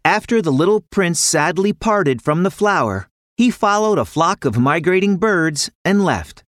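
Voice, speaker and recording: A woman narrates a children's story with lively, varied intonation. Her delivery is expressive and scripted, in a close, dry recording. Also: lowish voice, a little slow, dry recording.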